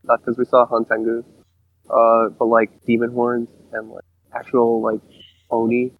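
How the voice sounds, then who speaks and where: A man co-hosting a casual fan podcast, feeling out his ideas in a hesitant, halting way. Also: mid-range voice, monotone, phone-quality sound.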